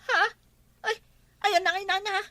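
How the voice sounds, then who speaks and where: Shrill, squeaky excitable village wife. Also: female voice, measured pace, very noisy. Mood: merry, excitable. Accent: Ilocano. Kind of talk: comedy radio drama acting.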